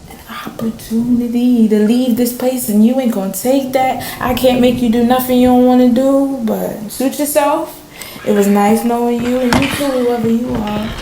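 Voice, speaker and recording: A woman playing a fed-up, laid-back waiter with an artistic streak in a radio play, speaking in a drawn-out, colloquial way. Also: on the high side, steady tone, dark tone, natural voice. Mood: fed-up, defiant. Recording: roomy, echoey, faint noise.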